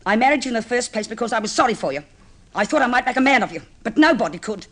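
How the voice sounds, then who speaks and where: A sharp, emphatic shrewish wife. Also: female voice, on the high side, lively intonation, racing. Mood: scornful, scolding. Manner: nagging, contemptuous, emphatic. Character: shrewish, scolding wife of a meek grocer. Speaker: radio actress. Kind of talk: old-time radio drama acting. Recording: noisy old radio recording.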